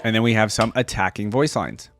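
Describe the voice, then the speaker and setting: A man's voice: on the low side, brisk, a bit bright, soft background music. A man hosting a reaction video, chatting casually and with amusement, in short comments close to the microphone.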